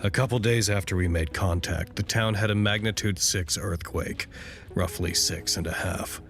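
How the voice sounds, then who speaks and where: Calm dry researcher in an audio drama, lowish voice, measured, kinda wry. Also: male voice. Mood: matter-of-fact. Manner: measured, matter-of-fact, wry. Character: level-headed, dry male field researcher giving reports. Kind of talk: naturalistic audio drama dialogue.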